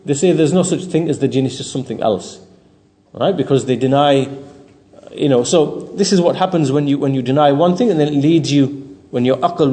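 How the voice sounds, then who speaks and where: A man's voice: mid-range voice, dark tone. A man giving an explanatory religious lecture as a teacher, didactic, repeating phrases for emphasis and checking in with the listener. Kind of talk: explanatory Islamic lecture, partly off the cuff. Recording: long hall-like reverb.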